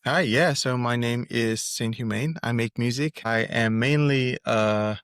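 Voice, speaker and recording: A man's voice: medium pitch, unhurried, fairly bright tone, clear, resonant tone, dry recording, studio quality. A man from Singapore, a musician, answering interview questions in an easygoing, hesitant way, often restarting his phrases. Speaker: musician guest. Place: Seoul, recording remotely.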